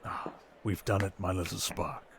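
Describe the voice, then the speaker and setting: A man playing a suave, cunning villain in an intimate ASMR roleplay, speaking in a silky, theatrical, teasing way with deliberate pauses. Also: sits low, steady tone, vocal fry, background noise. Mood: admiring, tender. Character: suave, cunning male criminal mastermind villain, seductive and teasing.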